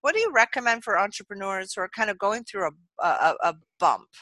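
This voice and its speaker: Bright, upbeat woman hosting an interview. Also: mid-range voice, lively intonation, fast, no echo. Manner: enthusiastic, lots of fillers like "like" and "you know". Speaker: podcast host.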